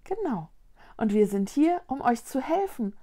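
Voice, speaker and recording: A woman voicing a kind, curious young girl wizard in a children's storybook, gentle and sensible. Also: a little high, big pitch swings, a little slow. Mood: friendly, earnest.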